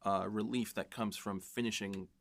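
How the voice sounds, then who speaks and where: A man speaking on a podcast as a writer, talking casually in a measured, reflective way. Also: lowish voice, steady tone. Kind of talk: casual chat.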